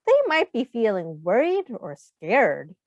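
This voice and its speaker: A woman reading a picture book aloud to young children in an expressive, teacherly way. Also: medium pitch, slow. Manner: expressive, asks the listeners questions. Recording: muffled, echoey room sound.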